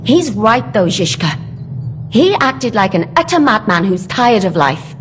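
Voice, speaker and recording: A woman playing a brisk, sardonic medieval conspirator in a video game, speaking in a dry, practical manner. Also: mid-range voice, sing-song, slight background noise. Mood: concerned. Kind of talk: cinematic video game acting.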